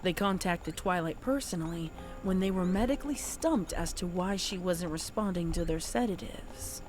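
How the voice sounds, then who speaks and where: A woman's voice: on the low side, darkish tone. A woman narrating horror fiction in a scripted, dramatic storytelling style.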